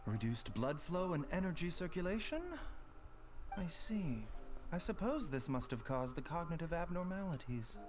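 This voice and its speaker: An actor playing a calm, composed young doctor in a video game, speaking politely and formally in a measured, reassuring way. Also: male voice, on the high side, over music, lightly acted. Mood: composed, clinical.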